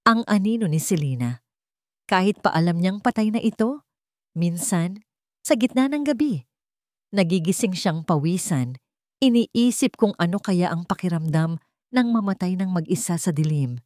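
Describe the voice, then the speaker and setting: A woman's voice: fairly low pitch, animated, moderate pace, long pauses, a little mellow, no echo, studio quality. A woman with a Filipino accent narrating a scripted romantic drama story in a dramatic storytelling style.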